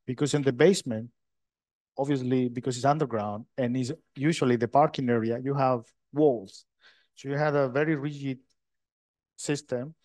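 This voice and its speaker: A man from Chile with a Chilean Spanish accent, answering audience questions after a lecture, halting and restarting often. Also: mid-range voice, fairly even intonation, darkish tone. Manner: halting, many restarts, non-native phrasing. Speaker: lecturer in structural design.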